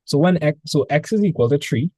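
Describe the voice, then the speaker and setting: A man with a Trinidadian accent tutoring a student in math over a video call, relaxed and chatty. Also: a little high, brisk, no room sound, clean studio sound. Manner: relaxed, chatty, repeats words when starting. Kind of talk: casual online tutoring session.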